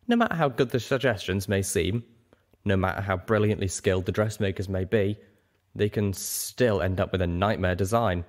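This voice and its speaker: A man's voice: deep voice, normal speed, close to an everyday voice. A man voicing a huge old male dragon in a story reading, earnest and nostalgic, with a reflective, emphatic manner. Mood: explaining, careful.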